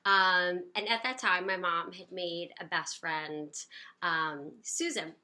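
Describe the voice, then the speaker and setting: Bright, chatty woman in her late thirties. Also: fairly low pitch, slow pace. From United States. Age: 30s. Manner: upbeat, chatty, many fillers. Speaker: personal storyteller. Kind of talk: casual personal storytelling to camera.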